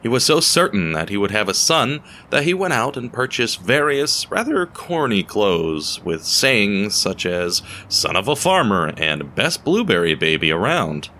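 A man reading a scripted story as narrator, in a measured, dramatic way with deliberate pauses. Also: lowish voice, very animated, sharp tone, slight background noise. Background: music.

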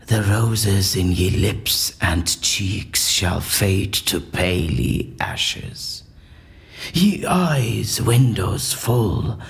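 An actor playing an eccentric, unflappable surgeon in an audio drama, with a formal, theatrical and dryly dismissive manner. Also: male voice, lowish voice, creaky, full character voice. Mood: solemn, theatrical.

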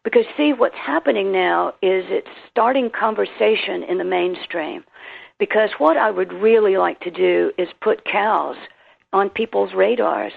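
A woman's voice: mid-range voice, measured pace. A woman with a South Carolina and Tennessee accent, an author guest telling her story animatedly in an interview. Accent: Southern American, South Carolina and Tennessee. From American South. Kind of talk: interview on a phone line. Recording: tinny phone line with gravelly fry.

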